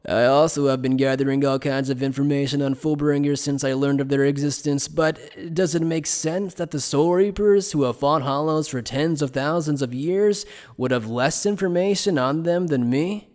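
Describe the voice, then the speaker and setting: A man playing an evasive, playful scholar who explains things in a polite, measured, teasing way in an anime fan dub. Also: fairly high pitch, a little mellow, natural voice. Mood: measured, probing. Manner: polite, measured, teasing lecturer with coy understatement.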